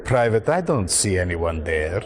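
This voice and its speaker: Stern officer with shifting pitch. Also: male voice, very animated. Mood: coldly menacing. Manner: measured, deliberate, stern. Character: cold, authoritative male senior military officer. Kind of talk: dramatic video game cutscene acting. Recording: faint background noise.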